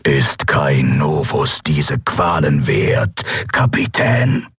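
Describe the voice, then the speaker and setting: A man voicing a cold, relentless armored machine emperor who speaks slowly and coaxingly. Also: a bass voice, very animated, a bit bright, breathy edge, no room sound, studio quality, faint music behind, pitched below the performer's own voice, exaggerated voice. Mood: coldly coaxing.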